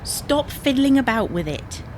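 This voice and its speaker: An actress playing an exasperated, bossy British wife in a domestic comedy audio drama, nagging and insistent. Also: female voice, high-pitched, very animated, unhurried, over loud music. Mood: bossy, brisk. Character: exasperated, bossy wife navigating for her husband. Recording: noisy, car ambience.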